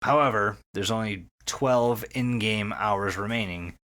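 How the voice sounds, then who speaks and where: A man's voice: on the low side, unhurried, a bit bright. A man hosting a podcast, recapping a story casually and enthusiastically, swearing freely and repeating words. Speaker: podcast host.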